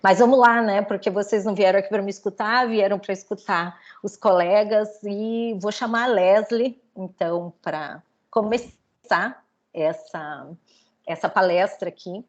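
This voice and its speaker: A woman's voice: mid-range voice, unhurried. Middle-aged woman host, conversational moderator, filler tags, muffled video-call audio. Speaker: professor and event host. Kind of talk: moderating an online lecture.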